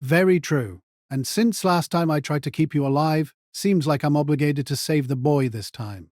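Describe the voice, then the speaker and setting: An actor plays a jaded older man, a mentor who drinks hard. His voice sits a little high for a man and his delivery is blunt, needling and sardonic. It is audio drama dialogue, recorded very dry. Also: moderate pace, a little mellow, no echo, natural voice. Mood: blunt, resigned.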